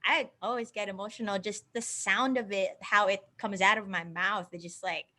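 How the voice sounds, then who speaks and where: A woman's voice: mid-range voice, fairly even intonation, normal speed, sharp tone. Earnest woman from the Philippines. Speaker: singer, guest. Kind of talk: casual interview chat.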